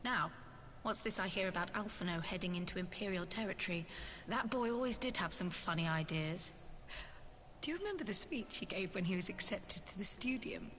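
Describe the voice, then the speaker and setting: A woman's voice: mid-range voice, animated, a little fast, a bit bright, a little hiss or hum, natural voice. An actress voicing a kindly, self-assured woman mentor in a video game cutscene, with genteel, old-fashioned formal wording. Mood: wry, fond. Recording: game audio with music behind.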